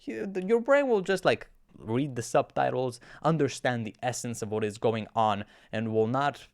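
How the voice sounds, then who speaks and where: A man's voice: medium pitch, lively intonation, normal speed, dry recording, studio quality. A young man whose first language is Romanian, talking casually to his viewers in a chatty, self-mocking way, often restarting his sentences. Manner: chatty, self-deprecating, with frequent restarts and fillers. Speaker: YouTuber. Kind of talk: casual talking-to-camera vlog.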